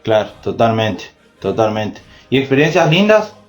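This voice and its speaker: A man's voice: on the low side, sing-song, normal speed. A man with an Argentine accent interviews someone conversationally, asking questions and adding short acknowledgements. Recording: phone interview with music.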